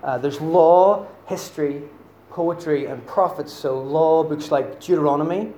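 A man's voice: on the high side, a little slow, warm tone, echoey room, slight background noise. A man from Northern Ireland with a Northern Irish accent, preaching a sermon in a chatty, self-deprecating way with many fillers. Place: church hall.

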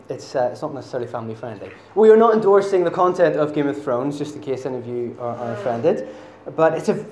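A man's voice: a little high, a little mellow, reverberant, a little hiss or hum. A man from Northern Ireland with a Northern Irish accent, preaching a sermon in a chatty, self-deprecating way with many fillers. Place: church hall.

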